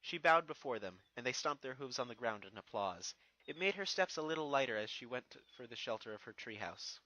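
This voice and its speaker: A narrator reading a scripted fan fiction story in an expressive storytelling manner. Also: mid-range voice, fairly quick, dry recording, rough recording.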